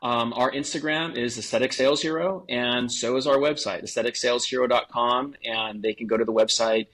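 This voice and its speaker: A man's voice: medium pitch, fairly even intonation, a little fast, fairly bright tone. A man giving conversational expert advice as a podcast guest, explaining things point by point. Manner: explanatory, lists points in series, acts out sample phrases. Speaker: podcast guest, sales coach.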